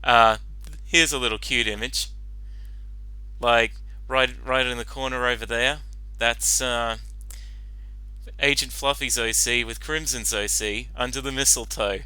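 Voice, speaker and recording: A man hosting a reaction video, commenting casually off the cuff in a halting, apologetic way. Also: mid-range voice, steady tone, pushed and bright, clean tone, dry recording, low-quality recording.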